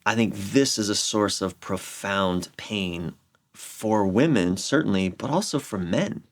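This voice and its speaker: A middle-aged American man explaining his views in a candid interview, halting and repeating phrases for emphasis. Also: fairly low pitch. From United States. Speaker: relationship coach, podcast guest. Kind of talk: reflective conversational interview explanation.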